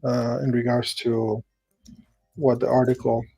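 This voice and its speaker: A man's voice: medium pitch, level tone, unhurried, dark tone, breathy edge, dry recording. A middle-aged man from Chile, hosting a show, who reads an article aloud and then comments casually with many fillers and restarts. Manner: hesitant, with frequent fillers and restarts. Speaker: host.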